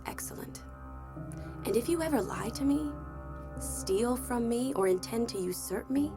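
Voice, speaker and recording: An actress playing a suave, controlling corporate boss with a breezy, superior manner and quiet threat in an audio drama. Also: female voice, fairly high pitch, animated, unhurried, over music. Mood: smug menace.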